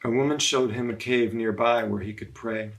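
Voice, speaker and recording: A man reading a story aloud to children as a religious brother, in measured phrases with frequent pauses. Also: medium pitch, steady tone, poor audio.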